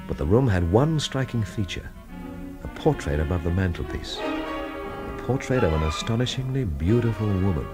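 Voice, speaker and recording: An actor playing a cynical English investigator who narrates his own story in a deadpan, sardonic radio drama style. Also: deep voice.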